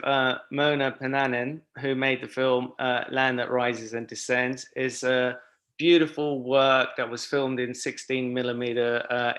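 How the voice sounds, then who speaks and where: A man's voice: medium pitch, fairly bright tone. A man hosting a film festival panel over a video call, speaking in a friendly way with frequent fillers.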